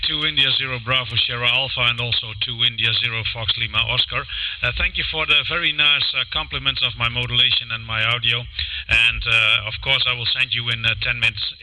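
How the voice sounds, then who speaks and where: A man talking in a ham radio contact, halting with many fillers and restarts. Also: mid-range voice, sharp tone, rough recording. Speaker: amateur radio operator.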